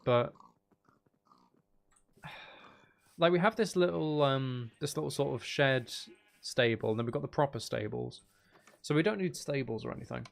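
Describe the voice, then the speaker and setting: A man giving casual gameplay commentary as a streamer, thinking out loud and chatting with viewers. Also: mid-range voice, moderate pace, creaky, pauses often. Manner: thinks out loud, sweary, banters at game characters. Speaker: game streamer.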